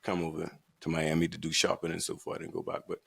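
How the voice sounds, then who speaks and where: A man from the Bahamas with a Bahamian accent, co-hosting a podcast and telling his story in a relaxed, laid-back way. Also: low voice, fast, gravelly, husky. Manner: relaxed, laid-back storytelling with frequent "right" and "you know". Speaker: podcast co-host. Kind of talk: casual chat.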